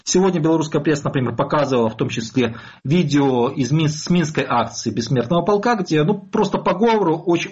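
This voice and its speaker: A man giving analytical commentary as an expert, explaining in a halting, self-correcting way over dull, lo-fi audio. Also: on the high side, husky.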